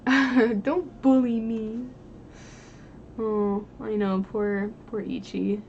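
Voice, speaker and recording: Woman, creaky mid-pitched voice, casual livestream chat, informal, rambling, thinking aloud, slightly noisy recording. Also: little pitch movement, extremely slow, darkish tone, highs cut off. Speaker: live streamer drawing digital art and chatting with viewers.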